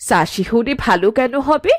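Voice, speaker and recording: A woman voicing an exasperated middle-aged village mother in an animated cartoon, nagging with sharp-tongued emphasis. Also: fairly high pitch, measured pace, no echo. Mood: worried.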